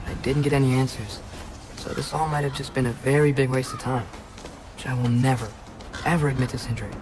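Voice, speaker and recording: A man's voice: medium pitch, steady tone, fairly quick, noisy background, lightly acted. A young man playing an earnest, stubborn teenage boy hero in a video game, youthful and a little hesitant. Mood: sheepish. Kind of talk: naturalistic video game acting. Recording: music under the voice.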